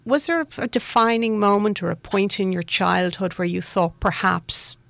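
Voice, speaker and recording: A woman hosting an interview in a supportive, conversational way, with gentle, hesitant phrasing. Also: mid-range voice, moderate pace, vocal fry, dry recording. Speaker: interview host.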